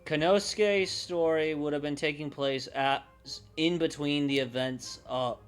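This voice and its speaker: Guy on a stream just thinking out loud, real slow and halting, clean smooth voice, bit of music in back. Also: on the high side, steady tone. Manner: halting, pausing to think and correct himself. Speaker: livestreamer brainstorming a roleplaying campaign. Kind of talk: casual unscripted thinking aloud to stream chat.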